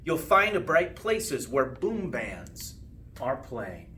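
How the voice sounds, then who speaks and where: A man reading a rhyming children's storybook aloud with expressive, sing-song phrasing and drawn-out line endings. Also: medium pitch. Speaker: school principal reading a storybook. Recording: distant mic with faint background noise.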